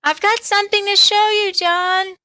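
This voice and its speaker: A woman voicing an excited, insistent little schoolgirl in a storybook, eager to show off. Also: very high voice, normal speed, no echo, clean studio sound, pitched above the performer's own voice.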